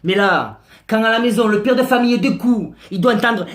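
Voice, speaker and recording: A man's voice: high voice, fairly quick. Exasperated ranting man. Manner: slangy, exasperated, mock-outraged. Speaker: comic vlogger. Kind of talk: comic rant to camera.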